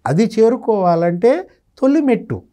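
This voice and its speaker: Casting: male pundit in his fifties, high-set but soft-edged voice, storytelling delivery. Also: very animated, measured pace. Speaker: senior journalist, guest analyst. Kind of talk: conversational political commentary. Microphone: lapel mic.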